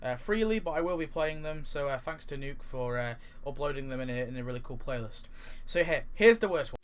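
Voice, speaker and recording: A man's voice: medium pitch, lively intonation, a little fast, slight background noise. A man giving casual, rambling ranking commentary as a video host, often hedging and repeating himself.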